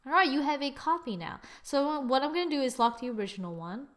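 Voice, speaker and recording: A woman hosting a screen-recorded software tutorial, chatting as she works and correcting herself with little exclamations. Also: high voice, expressive, fast talker. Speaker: tutorial host.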